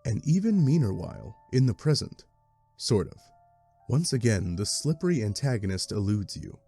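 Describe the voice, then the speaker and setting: A man's voice: lowish voice, big pitch swings, normal speed, creaky, mellow. A man playing a wry, theatrical narrator in a fan-dubbed webcomic, with an arch scripted delivery. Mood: dramatic. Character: deadpan, wry omniscient game-style narrator. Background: music.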